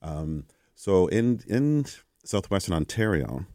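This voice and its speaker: A Canadian man, a guest in an interview, telling stories in a conversational way and acting out quoted exchanges with lively energy. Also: low voice, slow pace, dry recording, clean studio sound. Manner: animated, acts out quoted exchanges.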